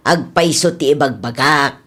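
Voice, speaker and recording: A woman's voice: deep voice, unhurried, a bit bright, breathy edge, full character voice. A woman with an Ilocano accent playing an exasperated, outspoken village woman in a radio drama.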